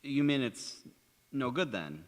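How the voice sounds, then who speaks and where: An actor playing an earnest, long-winded young suitor in an old-time radio drama, speaking formally and hesitantly with stammers. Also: male voice, medium pitch, big pitch swings, slow pace. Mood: anxious, crestfallen. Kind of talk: 1940s radio drama acting on stage. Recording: echoey hall, long reverb.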